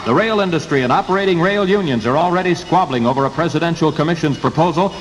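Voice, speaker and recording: A man's voice: fairly high pitch, fast talker. A man with an American accent reading a scripted 1960s radio news bulletin in clipped headlines. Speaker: radio newsreader. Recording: 1960s radio aircheck, hissy, long reverb.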